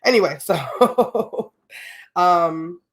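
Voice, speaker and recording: A woman's voice: on the low side, expressive, drawn-out words. A young adult woman hosting a solo podcast, talking casually and candidly with lots of fillers and slang, a little sniffly from a cold. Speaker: podcast host.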